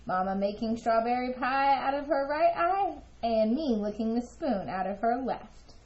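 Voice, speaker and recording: A woman's voice: fairly high pitch, lively intonation, normal speed, a little mellow, highs cut off, lightly acted. Woman reading as an eager, impatient little storybook girl. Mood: excited.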